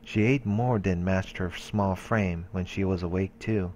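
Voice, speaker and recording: A man's voice: deep voice, steady tone, measured pace, a little mellow, a little hiss or hum. A man hosting a let's play, reading game narration aloud with occasional casual asides.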